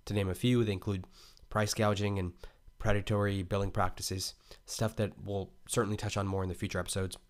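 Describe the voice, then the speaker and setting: A man's voice: fairly low pitch, level tone, a little fast, few pauses. A male podcast host who reads a guest introduction and then asks loose, rambling questions full of fillers. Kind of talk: read intro, then casual interview chat.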